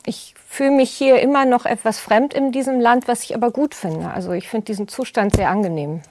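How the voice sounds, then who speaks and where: An older woman raised in the United States talks as a guest on a conversational podcast. She is thoughtful and reflective, often pausing on fillers as she works out her answers. Her voice sits in a middle range, and the sound is dry and close. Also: mid-range voice, a little mellow, dry recording. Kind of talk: conversational interview.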